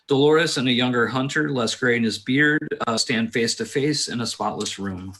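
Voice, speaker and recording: A man's voice: medium pitch, moderate pace, fairly bright tone, low-quality recording. Man reading screenplay stage directions. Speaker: reader of stage directions at a table read.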